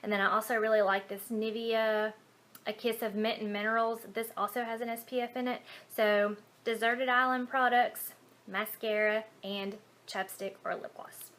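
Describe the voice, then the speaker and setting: A woman's voice: fairly high pitch, little pitch movement, normal speed, a bit bright. A woman from Alabama with a Southern accent chats casually to camera as a beauty vlogger, informal and rambling, poking a little fun at herself. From Alabama, United States.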